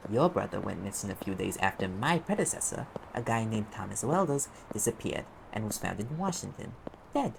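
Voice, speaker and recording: A man's voice: on the low side, big pitch swings, a little fast, creaky, noisy background, exaggerated voice. A man playing a sarcastic, streetwise demon private investigator in an audio roleplay, talking in an offhand, sardonic way. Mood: wry, brooding. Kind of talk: conversational audio roleplay acting.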